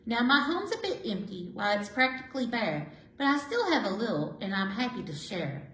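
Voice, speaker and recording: Animated woman storyteller in an echoey room. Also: a little high, moderate pace. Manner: animated, sing-song. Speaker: children's storyteller. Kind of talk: expressive read-aloud of rhyming picture books. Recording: roomy, echoey indoor sound.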